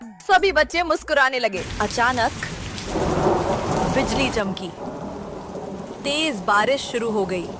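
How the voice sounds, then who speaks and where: A woman's voice: fairly high pitch, lively intonation, slow pace, long pauses, heavy background noise. A woman narrator reading a scripted children's story. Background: music under the voice.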